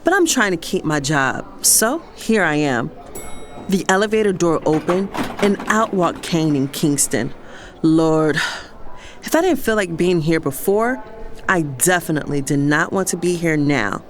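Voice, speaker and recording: A woman's voice: low voice, expressive, unhurried, a little hiss or hum. A woman playing a fed-up, sassy young career woman, narrating an audio drama in the first person. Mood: exasperated. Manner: exasperated, sassy.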